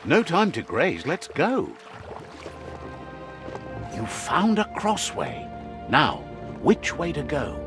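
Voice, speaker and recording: A man's voice: a little high, wildly swooping pitch, takes it slowly, long pauses. A man narrating a video game in a wry storybook style, guiding the player along. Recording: music playing under the voice. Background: game music.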